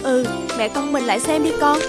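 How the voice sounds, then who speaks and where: A woman voicing a caring, gently chiding young mother in an animated cartoon. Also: high voice, brisk, over loud music, lightly acted. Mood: indulgent.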